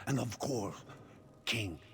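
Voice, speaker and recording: A man's voice: on the low side, expressive, fairly bright tone, a little hiss or hum, full character voice. An actor playing a smug, gloating usurper villain in a fantasy game, mocking and theatrical, drawing out his words. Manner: mocking, drawn-out, gloating delivery.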